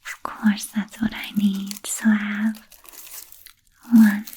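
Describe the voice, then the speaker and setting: Woman as a slow whispering, seductive vampire. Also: mid-range voice, completely flat delivery. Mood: coaxing, playfully puzzled. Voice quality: soft breathy whisper. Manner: slow, gentle, coaxing, with lingering pauses. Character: vain, seductive, politely teasing female vampire hypnotist. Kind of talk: whispered ASMR roleplay.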